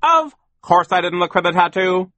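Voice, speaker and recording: A bossy, short-tempered mill boss from a storybook. He barks and dismisses with heavy emphasis, his pitch leaping up and swooping around. The sound is dry and close. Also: big pitch swings, measured pace, pushed and bright, no room sound, heavily acted. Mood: impatient. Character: gruff, bossy, impatient male lumber mill boss.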